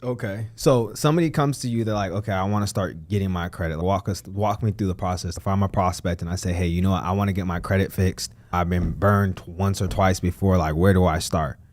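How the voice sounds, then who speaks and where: A man hosting a podcast who asks long, relaxed questions and answers with short agreements. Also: deep voice, fairly quick, hardly any pauses, dry recording. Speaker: podcast host. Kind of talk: interview questions.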